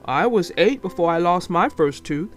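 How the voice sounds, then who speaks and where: Lady doing a kindly storybook dentist man, encouraging, lively intonation, music behind. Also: fairly low pitch, normal speed, pitched below the performer's own voice, lightly acted. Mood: reassuring. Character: reassuring male dentist, kindly grown-up. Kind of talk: children's storybook read-aloud.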